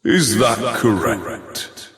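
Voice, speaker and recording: A man's voice: fairly low pitch, lively intonation, extremely slow, a bit bright, heavily acted. A man playing an ancient, imperious dragon lord in a fantasy video game, with a grandiose, theatrical delivery and amusement at mortals. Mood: grandly impressed. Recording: echoing, long hall-like reverb.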